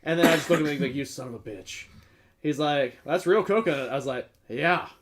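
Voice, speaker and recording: A man's voice: on the high side, animated, fairly quick, noisy background. A man co-hosting a casual, joking beer-review podcast, telling stories by acting out bits of dialogue. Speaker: podcast co-host.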